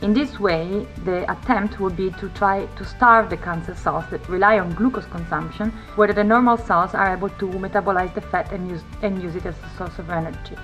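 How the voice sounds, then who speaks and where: A woman's voice: mid-range voice, fairly quick, few pauses, a bit bright, soft background music. A young woman from northeast Italy, a PhD researcher speaking carefully in a video testimonial, with frequent fillers and restarts.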